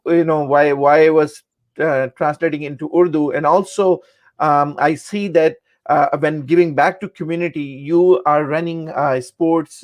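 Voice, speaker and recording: A man from Pakistan asking an interview guest questions on a video call, hesitant and full of ums and uhs. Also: on the high side.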